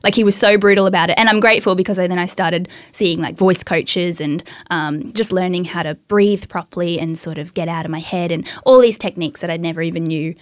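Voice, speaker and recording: A young woman guest in a casual interview, talking easily and laughing often, with a naturally high voice she works to keep lower. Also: fairly even intonation, a little fast, few pauses, no echo, studio quality. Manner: laughs often, self-deprecating, bubbly. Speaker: actress and writer guest.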